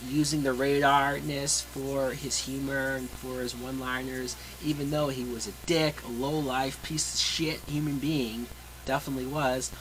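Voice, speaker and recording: A man's voice: mid-range voice, bright, forceful tone, low-quality recording. A man reviewing a movie in a casual, opinionated way, with emphatic, blunt and sweary delivery. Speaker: film reviewer.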